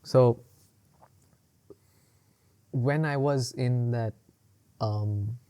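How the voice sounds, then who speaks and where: Male podcast co-host, mid-pitched and mellow, loose rambling chat full of fillers and laughs. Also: very slow. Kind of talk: casual chat.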